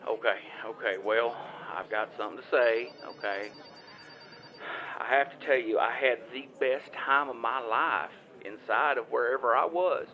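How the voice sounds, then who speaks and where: A man's voice: mid-range voice, normal speed, highs cut off, lightly acted. A man playing a folksy, rambling small-town fellow. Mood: insistent. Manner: rambling, stammering, repetitive. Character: folksy rambling small-town man, older ex-football player, injured rider. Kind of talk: improvised audio comedy phone call.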